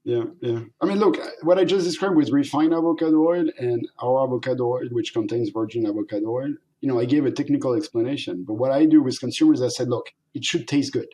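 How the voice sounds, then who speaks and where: A man's voice: mid-range voice, fast talker, hardly any pauses, poor audio. A middle-aged man from France with a French accent, a company founder talking animatedly in a casual interview and laughing often. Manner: animated, laughs often, acts out quoted voices.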